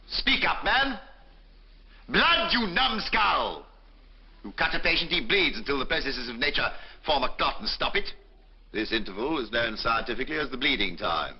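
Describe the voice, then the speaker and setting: A man's voice: medium pitch, big pitch swings, normal speed, slightly rough. An actor playing a pompous, bullying senior male surgeon who barks orders at junior doctors. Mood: scolding. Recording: dull old film soundtrack with faint music.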